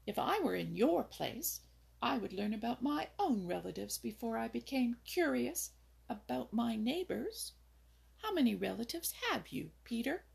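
Jenny Wren, a woman doing a sharp scolding little bird. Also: fairly high pitch, very animated, measured pace, gravelly, slightly rough, voice pushed up, full character voice.

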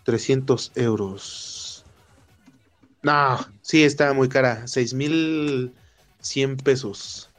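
A man's voice: mid-range voice, drawn-out words. A man with a Mexican Spanish accent talking casually in a group chat. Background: music.

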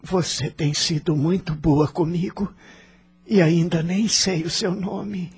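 High-voiced, earnest Brazilian penitent. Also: male voice, steady tone, slow pace, breathy edge, faint music behind, heavily acted. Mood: tender, hopeful. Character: humbled, repentant man in the afterlife, earnest and grateful. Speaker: radio drama actor. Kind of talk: radio soap acting.

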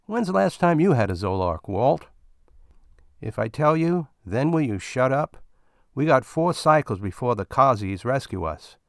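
A gruff, fed-up space miner who answers in curt, clipped lines, voiced by a man reading the story. The sound is close and dry, with no room around it. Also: mid-range voice, very animated, measured pace, no echo, pitched above the performer's own voice. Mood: irritated.

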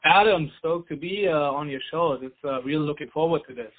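A man's voice: a little high, fairly quick. German-accented man on a phone line. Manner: relaxed, heavy use of "like" and "you know". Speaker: company founder, guest. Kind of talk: casual interview chat.

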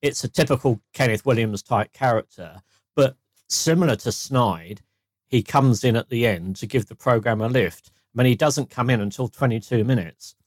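A man co-hosting a podcast, reviewing an old radio comedy in a measured, explanatory way. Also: medium pitch, no room sound. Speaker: podcast co-host. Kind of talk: casual review chat.

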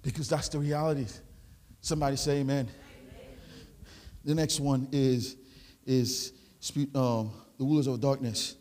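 A Haitian man with a Haitian French accent, a pastor preaching a sermon, rising into loud shouted exhortations. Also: medium pitch, flat delivery, a little slow, pauses often. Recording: long hall reverb.

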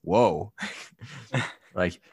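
Deep-voiced young man, laughing and joking. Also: extremely slow, slightly rough, dry recording. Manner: laughs often, relaxed and joking. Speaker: podcast co-host. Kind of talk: casual chat.

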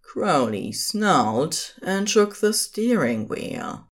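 Female narrator for audio fiction, very low register, lively intonation, measured storytelling.

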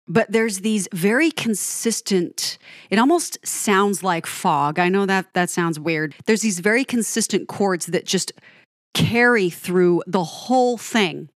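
A woman's voice: lowish voice, dry recording, studio quality. A woman giving an enthusiastic, chatty breakdown of a song to her viewers, laughing often. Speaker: music reaction commentator.

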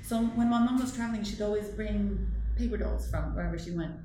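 A woman's voice: medium pitch, a little fast, dark tone, very echoey, slight background noise, low-quality recording. A woman from northern Norway with a Norwegian accent, a guest in an interview, talking in a rambling, self-correcting way and laughing often. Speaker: artist guest. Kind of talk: casual interview chat.

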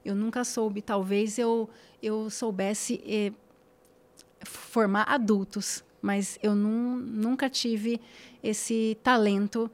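A woman's voice: mid-range voice, fairly even intonation, takes it slowly. A woman, a podcast guest talking casually and emphatically, repeating phrases for stress and checking in with tag questions. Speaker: guest influencer.

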